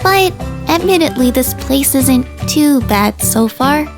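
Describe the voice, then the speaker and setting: A woman voicing a reserved, skeptical female dog in an animated visual novel. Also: sits high, takes it slowly, over music, full character voice. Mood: wary. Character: wary, reserved, sensible female dog, small-voiced and grumbly.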